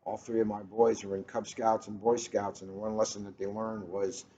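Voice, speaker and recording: A man's voice: lowish voice, level tone, normal speed, mellow. A middle-aged man, a police officer, reading a storybook aloud and chatting casually between passages. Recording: muffled, noisy ambient room recording.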